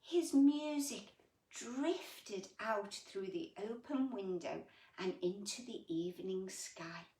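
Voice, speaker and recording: Woman, children's storyteller, slow gentle delivery, very expressive, dramatic pauses, chatty asides to little listeners, distant roomy recording. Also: medium pitch. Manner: expressive, with dramatic pauses and emphatic drawn-out words; gentle, sympathetic, addressing the child listener with tag questions. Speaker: storyteller reading a children's picture book. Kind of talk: animated bedtime story reading with chatty asides to young listeners. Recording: roomy echo, distant microphone.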